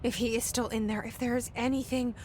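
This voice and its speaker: A woman's voice: on the high side, flat delivery, a little fast, a little mellow, slight background noise, natural voice. An actress with an American accent playing a determined young woman trying to save her brother in a game cutscene, blunt, wry and restrained. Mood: urgent, upset. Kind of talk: video game cutscene acting, naturalistic.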